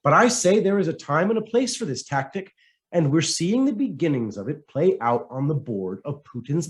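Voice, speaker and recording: Male narrator, mid-pitched, for essay reading; highly animated intonation, emphatic and deliberate. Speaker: reader of written commentary.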